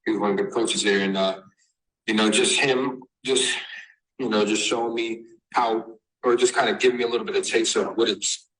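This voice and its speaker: A young man from Massachusetts, raised in Texas, answering interview questions as a basketball recruit, halting with many fillers and restarts. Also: fairly low pitch, fairly even intonation, a bit bright, low-quality recording. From born in Massachusetts, raised in Texas. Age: young adult.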